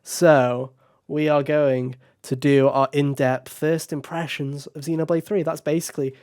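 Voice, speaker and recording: A man with a raspy, slightly hoarse voice hosts a casual gaming podcast, joking and rambling. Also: on the high side, little pitch movement, unhurried, a little mellow. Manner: joking, self-mocking, rambling. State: hoarse, recovering from covid. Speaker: podcast host.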